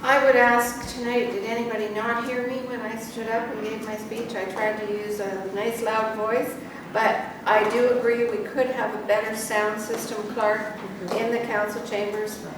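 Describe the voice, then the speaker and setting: A woman's voice: a little high, level tone, moderate pace. A woman running for council, answering a debate question hesitantly with frequent ums. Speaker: council candidate. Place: public meeting hall. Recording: echoey hall, distant mic, noise.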